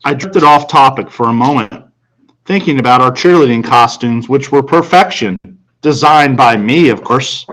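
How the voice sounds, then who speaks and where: Guy reading a kids novel out loud, average pitch, narrator style. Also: normal speed, poor audio. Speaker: audiobook narrator. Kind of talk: scripted story narration.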